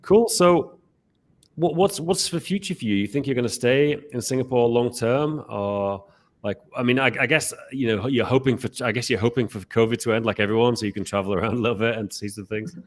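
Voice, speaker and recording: A man's voice: mid-range voice, fairly quick. A man hosting a podcast interview, asking long rambling questions full of restarts. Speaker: podcast host. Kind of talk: casual interview.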